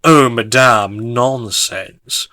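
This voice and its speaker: A man voicing a dashing, melodramatic gentleman lover with a ringing, proclaiming voice. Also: medium pitch, drawn-out words, no room sound, pitched below the performer's own voice. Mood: grandly romantic.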